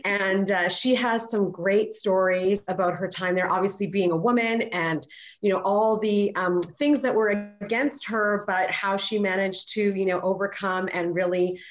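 A woman's voice: medium pitch, fairly even intonation, a little slow, soft background music. A woman sharing family anecdotes casually in a group online chat. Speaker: chat participant. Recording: slightly dull video-call audio.

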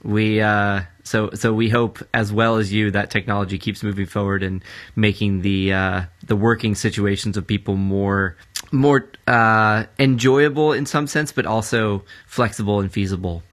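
Low-voiced man host, wandering questions. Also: steady tone, moderate pace, fairly bright tone. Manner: loose, wandering questions with filler words. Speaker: podcast co-host. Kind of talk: casual interview questions.

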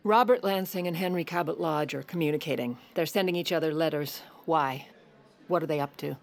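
An actress playing a shrewd, impatient first lady in a comedic audio drama, speaking in a brisk, commanding, dry way. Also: low-pitched, gravelly. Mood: urgent, probing.

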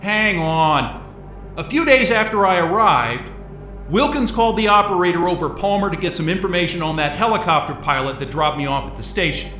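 A man acting in an audio drama as a panicked, exasperated, foul-mouthed man who speaks haltingly. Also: sits high, animated, bright, forceful tone, reverberant, faint music behind. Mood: urgent. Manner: swearing, halting, agitated.

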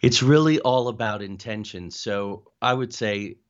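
Low-voiced man, dull lo-fi sound. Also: measured pace. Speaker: interview guest, paranormal investigator and author. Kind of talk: conversational interview answers. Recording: dull lo-fi call audio.

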